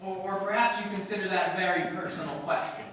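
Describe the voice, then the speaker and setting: A man's voice: high voice, fairly even intonation, quick pace, fairly bright tone. A man acting in a radio play as a tipsy, earnest and cynical writer, rambling loosely. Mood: tipsy, probing. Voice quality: loose, rambling drunken delivery with hiccups. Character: tipsy, earnest American male magazine writer, smitten and cynical. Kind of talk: live old-time radio play acting. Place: live stage performance in a hall. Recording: distant echoey hall, faint noise.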